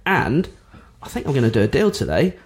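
A man's voice: mid-range voice, huge pitch swings, a little fast. A man in his forties from England, co-hosting a casual podcast as an estate agent, chatty and blunt, swearing now and then.

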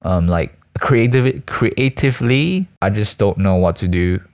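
Young man, student from Malaysia, low-pitched. Casual solo vlog ramble, halting and self-mocking, full of slang. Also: animated. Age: teens to young adult, school student. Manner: hesitant, self-deprecating, slangy. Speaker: student vlogger and aspiring actor.

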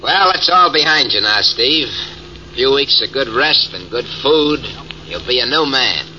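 A brash, scheming gangster. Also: male voice, mid-range voice, lively intonation, unhurried, pushed and bright, lo-fi sound, slight background noise. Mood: swaggering. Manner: brash, swaggering, smug. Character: boastful, scheming small-time gangster man. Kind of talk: 1940s radio acting.

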